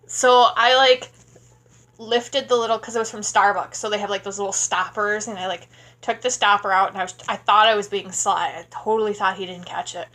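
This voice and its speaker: A woman's voice: medium pitch, steady tone, fairly quick, bright, forceful tone. A sleepy woman in her thirties from Wisconsin, rambling casually through a vlog Q&A at home and talking into a tablet camera. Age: 30s. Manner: rambling, chatty, many fillers. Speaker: vlogger. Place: small home room indoors. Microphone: tablet camera at close range.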